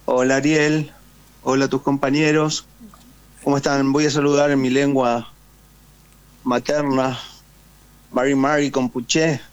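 A man's voice: medium pitch, flat delivery, a little slow, pauses often, smooth, no room sound, highs cut off. A man with an Argentine accent, a veteran guest on a radio call, talking casually and hesitantly with many fillers and tag questions. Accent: Argentine Spanish. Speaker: guest, war veteran and writer. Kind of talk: casual phone interview.

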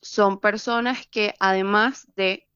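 A woman's voice: mid-range voice, fairly even intonation, slow pace, a bit bright, smooth, dry recording. Venezuelan woman reporting over a dull line. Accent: Venezuelan Spanish. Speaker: journalist. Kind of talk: reporting in a news interview.

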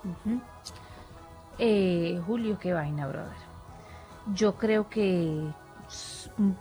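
A woman's voice: mid-range voice, very slow, dark tone, soft background music. A woman with a Dominican Spanish accent, co-hosting a morning show in casual chat. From raised in the Dominican Republic. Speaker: co-host.

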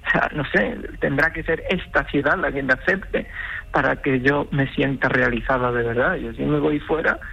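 Woman telling her story on the radio, lowish gravelly edge, acts out quotes, bit lo-fi. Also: moderate pace, a bit bright. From Galicia, Spain. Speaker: interview guest, truck driver. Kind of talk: personal testimony in a radio interview.

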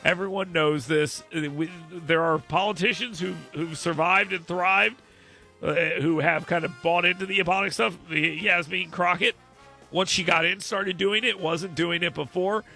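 Bright, gravelly man joking on a podcast. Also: sits high, moderate pace, soft background music. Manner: joking, blunt, animated. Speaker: podcast host. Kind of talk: casual comedic chat.

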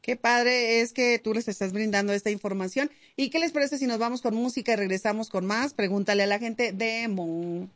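A woman's voice: on the high side, normal speed, hardly any pauses, no room sound. A woman hosting a radio show in upbeat, chatty talk with hesitant fillers. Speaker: radio host. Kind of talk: casual radio chat. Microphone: studio mic.

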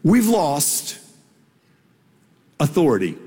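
A man's voice: a little high, wildly swooping pitch, slow pace, warm tone, slightly rough, in a large hall. A man delivering a sermon, telling a personal story in a conversational way before building to emphatic points. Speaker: pastor.

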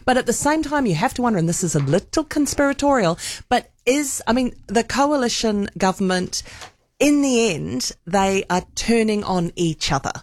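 A woman's voice: a little high, animated, moderate pace. A woman with a New Zealand accent, talking earnestly as a panelist in a casual discussion. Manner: earnest, restarts sentences when making a point.

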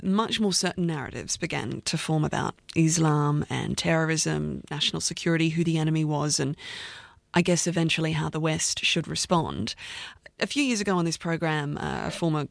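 A man's voice: a little high, normal speed, creaky, no echo. A man hosting a radio interview program, asking thoughtful, searching questions. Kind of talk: interview host.

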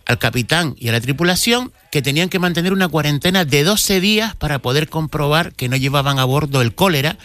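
A man's voice: a little high, lively intonation, brisk, few pauses, fairly bright tone, no echo. A man, a cultural commentator telling a local history story on a radio segment, with frequent restarts.

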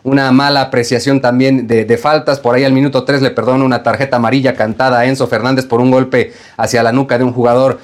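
A man's voice: mid-range voice, little pitch movement, fast. A man giving a prepared, formal and critical sports commentary as a refereeing analyst.

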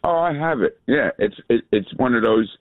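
A man calling in to a radio show, rambling and halting as he talks. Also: mid-range voice, expressive, no room sound. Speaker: caller. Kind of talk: phone-in chat. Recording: tinny phone line.

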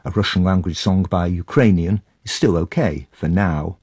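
A man's voice: low voice, normal speed, darkish tone, breathy edge, dry recording, clean studio sound. A man presenting a radio documentary, moving between scripted narration and interviews on location.

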